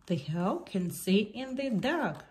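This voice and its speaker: A woman reading a picture book aloud for small children in simple, deliberate sentences. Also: mid-range voice, animated, background noise.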